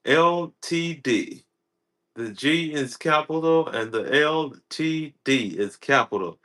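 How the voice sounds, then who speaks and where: A man's voice: mid-range voice, bright, forceful tone. A man in his thirties, a personal development coach, chatting on a livestream, repeating himself with many fillers and restarts. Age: 30s. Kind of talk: casual livestream chat.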